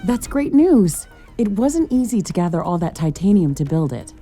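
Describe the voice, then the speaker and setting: An actress playing an earnest, curious scientist-commando who pilots a mecha suit in a sci-fi game, talking in a measured, thoughtful, professional way. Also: female voice, mid-range voice, sing-song, mellow, soft background music, close to an everyday voice. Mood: pleased. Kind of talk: scripted sci-fi game dialogue.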